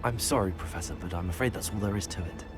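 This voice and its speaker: Man playing a low-voiced, polite wizard student. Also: fast talker, natural voice. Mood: guarded, respectful. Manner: polite, deferential. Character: polite, guarded new male fifth-year student wizard. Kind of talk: scripted video game dialogue. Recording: game music behind the voice.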